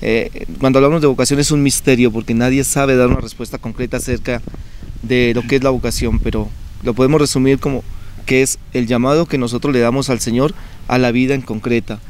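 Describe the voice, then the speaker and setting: A man's voice: medium pitch, fairly quick. Young male seminarian guest from Colombia, mid-range voice, earnest explanatory delivery, faint noise behind. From Colombia (Bucaramanga, Santander). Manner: calm, earnest, explanatory with frequent fillers. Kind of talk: conversational TV interview.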